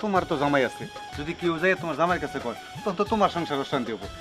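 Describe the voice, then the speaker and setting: Man playing nervous village lover, highish voice, fast talker, placating, Bangladeshi accent, comedy drama, music behind. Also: animated, lightly acted. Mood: worried. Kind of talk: Bengali web comedy drama acting. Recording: clear music under the voice.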